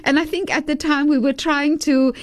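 A woman hosting a radio interview, asking thoughtful, measured questions. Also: high voice, steady tone. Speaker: radio host.

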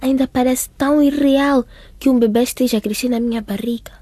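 Mellow, troubled teenage girl. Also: high-pitched, fairly even intonation, fairly quick, no room sound. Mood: worried, musing. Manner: soft, anxious musing. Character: troubled, pregnant fifteen-year-old girl. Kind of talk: radio drama monologue.

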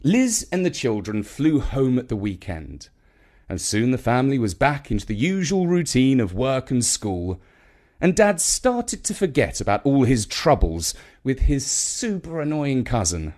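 A man reading a children's story in an expressive narrating style. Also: medium pitch, unhurried.

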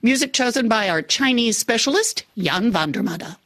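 A woman's voice: a little high, lively intonation, moderate pace, background noise. A woman hosting a radio podcast, moving between scripted narration and casual chat with playful asides.

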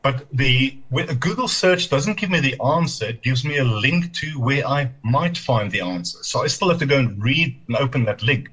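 A man's voice: mid-range voice, lively intonation, normal speed, few pauses, a bit bright, breathy edge. A middle-aged man, an Afrikaans speaker, giving earnest, explanatory interview answers and sometimes correcting himself. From South Africa. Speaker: technology commentator guest.

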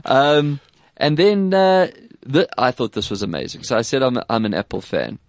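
A man with an English South African accent hosting a casual tech chat, animated and quick to put on voices when quoting people. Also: mid-range voice, no echo, clean studio sound. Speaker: podcast host.

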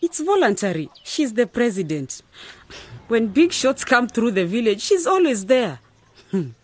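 An insistent village aunt. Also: female voice, fairly high pitch, big pitch swings, measured pace. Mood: complaining. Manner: insistent, exclaiming, scolding. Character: worried village aunt, older woman, pushy. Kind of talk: radio drama acting.

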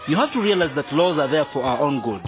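Man acting animated police sergeant on noisy recording. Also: on the high side, fast, close to an everyday voice. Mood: genial, instructive. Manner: chuckling, didactic, hesitant fillers. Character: genial, firm police sergeant and father addressing boys. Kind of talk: radio drama acting. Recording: noisy, tinny phone-like radio drama recording.

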